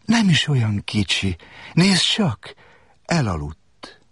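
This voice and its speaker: A man voicing a small, gentle boy prince from a tiny planet, speaking high and full of wonder. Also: wildly swooping pitch, slightly rough, no room sound, heavily acted.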